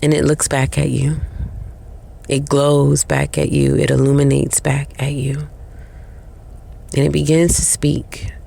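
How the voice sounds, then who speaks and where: A woman leading a guided meditation in a slow, soothing way, with long pauses between short phrases. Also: low voice, flat delivery, creaky, mellow.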